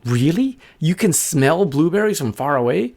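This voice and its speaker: A man voicing a small, friendly, eager male bird in a children's story, chatty and excitable with halting speech. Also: a little high, pitched above the performer's own voice. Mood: excited. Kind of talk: improvised children's story character voice.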